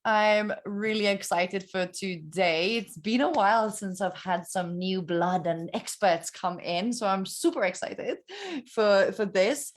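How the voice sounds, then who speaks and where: A woman's voice: mid-range voice, unhurried, few pauses. A woman hosting an interview, friendly and upbeat, often laughing. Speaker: interview host. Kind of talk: casual interview talk.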